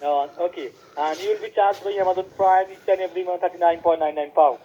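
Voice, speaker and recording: A man cold-calling as a scam caller, pushing a scripted pitch and spelling letters out slowly over a phone line. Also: fairly even intonation, dark tone. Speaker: scam caller posing as support.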